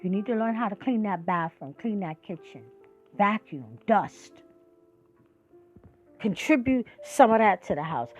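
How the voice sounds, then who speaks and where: A sixty-year-old woman talking with real passion, her voice swinging high and low as she drives each point home. The sound is a little dull and lo-fi, with faint music underneath. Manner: emphatic, preachy, lively rises and drops. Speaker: podcast host. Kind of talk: passionate monologue.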